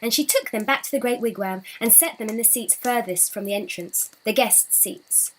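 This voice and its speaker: A woman reads a children's folktale aloud. Her voice is on the high side, and she tells it expressively, with deliberate, dramatic delivery. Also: rough recording. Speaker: children's storybook reader. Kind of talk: expressive scripted storytelling.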